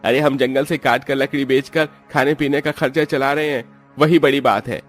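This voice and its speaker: A man's voice: mid-range voice, fairly even intonation, moderate pace, fairly bright tone, faint music behind, lightly acted. A man playing defensive, hard-up young village brothers who grumble and explain in a cartoon story. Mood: defensive, grumbling. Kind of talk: Hindi cartoon story dialogue.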